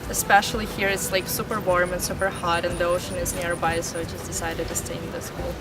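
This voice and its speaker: A woman's voice: lowish voice, level tone, a little fast, loud music behind the voice, rough recording. A young woman from Ukraine with a Ukrainian accent, a student talking casually with many fillers. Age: young adult. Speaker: university student. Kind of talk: interview testimonial.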